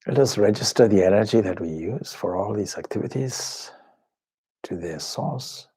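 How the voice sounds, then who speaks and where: A man with a husky, breathy voice leads a guided meditation as a spiritual teacher, speaking in measured, reverent phrases with pauses. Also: fairly low pitch, expressive, mellow. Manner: measured, reverent, pausing between short phrases. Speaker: swami leading a guided meditation. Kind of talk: slow spoken guided meditation.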